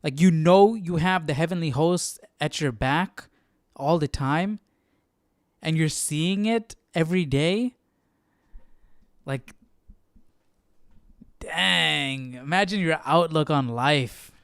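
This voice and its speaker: A man's voice: a little high, slow, long pauses, smooth, no echo, clean studio sound. A man hosting a livestream study in casual, informal chat sprinkled with youthful slang and exclamations. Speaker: Bible study livestream host.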